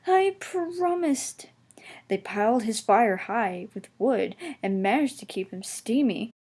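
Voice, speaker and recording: A woman narrates a children's storybook at an unhurried pace. Her voice has a medium pitch, and the delivery is scripted reading. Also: a little mellow, slightly rough.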